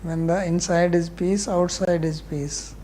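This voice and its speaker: A man with a soft, mellow voice and an Indian accent teaches scripture. He explains bluntly and puts questions back to his students. There is faint noise behind him. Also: high-pitched, level tone, a little slow. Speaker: spiritual teacher. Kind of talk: scripture class question and answer.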